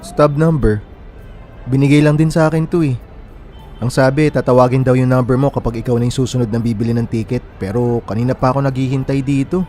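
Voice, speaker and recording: A man's voice: medium pitch, fairly even intonation, brisk, mellow, natural voice. An actor as a casual young man, music underneath. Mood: polite, helpful. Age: young adult. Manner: casual, conversational. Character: friendly, worried young man waiting at a bus terminal. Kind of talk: naturalistic radio drama dialogue in Tagalog.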